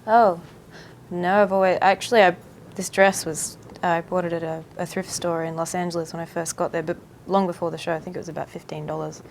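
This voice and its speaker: A woman's voice: fairly low pitch, little pitch movement, fairly quick, vocal fry, a little hiss or hum. A young woman from Australia with an Australian accent, chatting politely as an actress guest in a backstage interview, often trailing off. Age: young adult. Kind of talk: casual interview chat. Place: backstage room at a theater.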